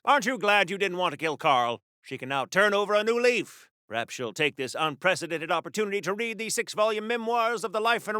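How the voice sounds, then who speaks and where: A man's voice: high-pitched, sharp tone, clean tone, dry recording, clean studio sound. A man playing a chatty, pompous wartime comic-book hero in a video game, with flowery diction in a theatrical 1940s radio serial style. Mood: grandiose.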